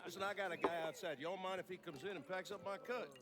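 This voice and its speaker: A man's voice: high-pitched, quick pace, a loud crowd, natural voice. A man playing a brash, profane, falsely chummy mob enforcer in a video game drama, with an American accent. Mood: falsely jovial.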